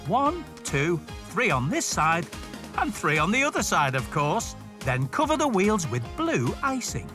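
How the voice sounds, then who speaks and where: A man tells stories and gives simple step-by-step instructions to small children. His pitch swings widely and he talks straight to the audience, with music clearly playing behind him. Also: measured pace. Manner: expressive, addresses young viewers directly. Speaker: storyteller and presenter. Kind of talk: children's story reading and step-by-step craft narration.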